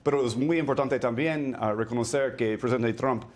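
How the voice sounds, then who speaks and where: Measured man searching for his words. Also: medium pitch, a little mellow. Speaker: policy consultant, panel guest. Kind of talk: studio news debate.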